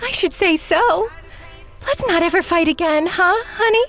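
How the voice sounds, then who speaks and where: A woman's voice: very high-pitched, normal speed, fairly bright tone, soft background music. A woman playing an emotional, quarrelsome sweetheart in a melodramatic old-time style radio drama. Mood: tender. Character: sweet, clingy sweetheart who turns defiant and quarrelsome. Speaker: actor in an audio drama.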